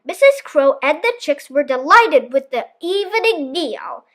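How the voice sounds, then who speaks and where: Female children's storyteller: slow-paced, very lively intonation, theatrical, expressive read-aloud. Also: high voice.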